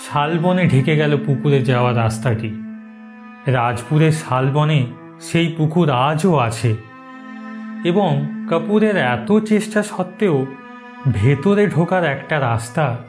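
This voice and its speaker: A man narrating a horror story in a theatrical, suspenseful style. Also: fairly high pitch. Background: background music.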